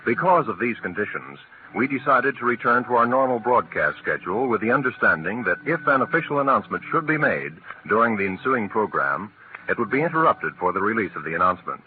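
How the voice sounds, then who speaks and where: Formal low-pitched male radio announcer. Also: big pitch swings. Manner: measured, formal. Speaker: network announcer. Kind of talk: formal scripted 1940s radio statement. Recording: tinny vintage radio recording, slight noise.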